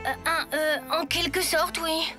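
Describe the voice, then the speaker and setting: A woman's voice: very high voice, fairly even intonation, a little slow, over music. A woman voicing a kind, sheepish, put-upon young schoolboy hero in a French children's cartoon. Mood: sheepish, coaxing.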